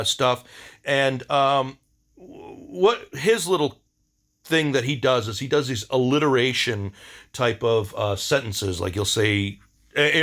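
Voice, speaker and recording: A man's voice: medium pitch, fairly bright tone. Casual enthusiastic man rambling informally. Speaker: video diary host. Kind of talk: casual rambling monologue reviewing favorites.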